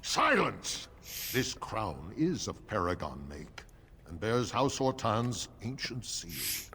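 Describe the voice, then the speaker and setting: An actor playing a stern, formal dwarf steward in a video game, speaking with measured, ceremonious authority. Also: male voice, on the low side, big pitch swings, sharp tone, a little hiss or hum, exaggerated voice. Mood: commanding. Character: stern, formal male dwarf steward presiding over assembly. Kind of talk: video game character acting.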